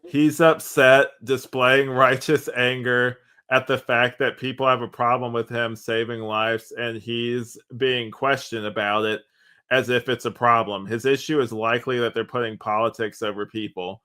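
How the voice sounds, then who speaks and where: A man's voice: mid-range voice, level tone, moderate pace, sharp tone, clear, resonant tone. A man co-hosting a podcast in casual discussion, talking in a rambling, conversational way with "like" dropped in often. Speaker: podcast co-host.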